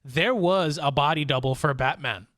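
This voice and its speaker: Male podcast host, higher pitch, dry close sound, excitable and slangy, casual entertainment review chat. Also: normal speed, no room sound. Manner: excitable, slangy fan talk.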